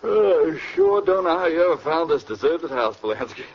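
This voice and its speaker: Casting: man as jittery convict sidekick, highish animated voice, folksy and deferential, noisy 1940s radio crime drama. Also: a little fast, close to an everyday voice. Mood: admiring, anxious. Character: nervous male convict getaway driver, admiring henchman. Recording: muffled, noisy old radio recording.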